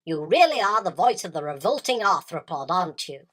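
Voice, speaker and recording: A woman's voice: fairly low pitch, big pitch swings, fairly quick. A woman playing a haughty, self-absorbed, hungry spider queen in a radio drama, speaking in an imperious, dismissive and self-justifying way. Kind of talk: theatrical radio drama acting.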